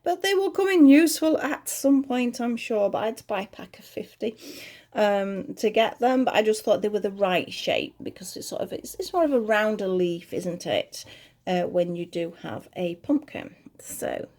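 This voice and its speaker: A British woman hosting a crochet tutorial at home, chatty and rambling as she talks through each step, her voice a little croaky. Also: medium pitch, moderate pace, darkish tone. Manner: friendly, rambling, talks while working.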